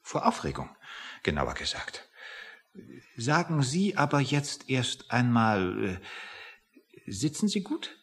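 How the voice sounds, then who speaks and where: Wildly swooping, sly, touchy official. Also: male voice, medium pitch, wildly swooping pitch, slow pace, fairly bright tone, exaggerated voice. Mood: flustered, defensive. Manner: oily politeness, emphatic repetitions. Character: sly, touchy male official who cannot stand up. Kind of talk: German radio play acting.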